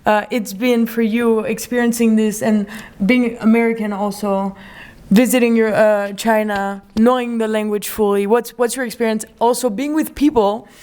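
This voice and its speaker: A woman's voice: fairly high pitch, fairly even intonation, moderate pace, a little mellow. A woman hosting a casual conversation, enthusiastic and rambling, often restarting her sentences. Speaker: podcast host.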